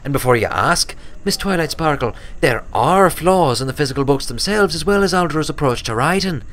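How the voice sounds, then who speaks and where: A man's voice: fairly high pitch, animated, a little fast, a bit bright, voice pushed up. A man voicing a grave, earnest young filly pony who explains things precisely and wordily in a storybook reading. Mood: exasperated, explaining. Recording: clear background noise.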